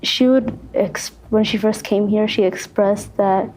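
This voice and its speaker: Slow, mellow young woman. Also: medium pitch, steady tone, poor audio. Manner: unhurried, hedging with fillers. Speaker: student panelist. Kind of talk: casual panel discussion.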